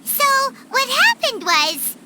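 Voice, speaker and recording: A woman's voice: extremely high pitch, wildly swooping pitch, slow pace, heavy background noise, exaggerated voice. A woman voicing a small, chirpy, outspoken fairy companion in an anime-style video game. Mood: chatty, curious.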